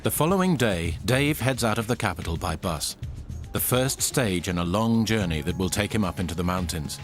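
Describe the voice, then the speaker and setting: A man's voice: deep voice, expressive, normal speed, music in the background. A man reading scripted documentary narration.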